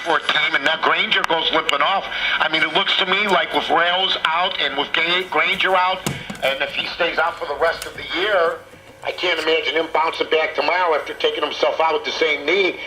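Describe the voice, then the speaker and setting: A man's voice: on the high side, fairly even intonation, a little fast, low-quality recording. A man hosting sports talk in a casual commentary style, heard through an old AM radio in a room.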